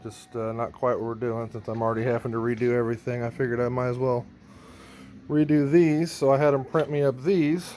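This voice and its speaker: A man's voice: mid-range voice, flat delivery, brisk, dark tone, slight background noise. A man narrating a hands-on gear vlog in a casual, informal way, thinking aloud as he works. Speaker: band member showing a PA rack rebuild.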